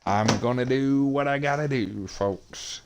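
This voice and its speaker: A man streaming a wrestling video game, talking in a monotonous, hesitant way with many pauses and fillers. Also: mid-range voice, noisy background. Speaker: gaming streamer. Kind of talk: casual game commentary.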